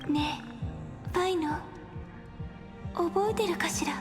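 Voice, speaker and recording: A gentle young heroine of an anime game with a very high, soft, breathy voice. She speaks in measured, wistful phrases like someone telling an old dream, with music clearly playing behind her. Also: animated. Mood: wistful, nostalgic. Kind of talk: Japanese anime game voice acting.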